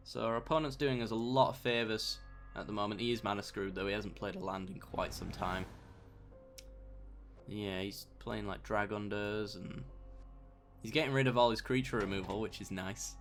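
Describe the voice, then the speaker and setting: Young gamer, a bit croaky with a sore throat, relaxed let's-play commentator who talks through each move. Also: male voice, mid-range voice. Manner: thinks aloud, mild self-talk, bursts of mock outrage. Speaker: gameplay commentator.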